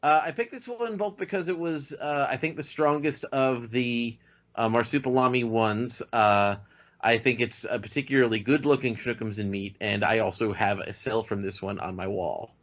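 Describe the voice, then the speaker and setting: A man with an average-pitched voice talks casually as a podcast guest. His delivery is halting, often doubling back on words. The sound is somewhat dull and lo-fi. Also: a bit bright. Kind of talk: casual chat. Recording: dull lo-fi audio.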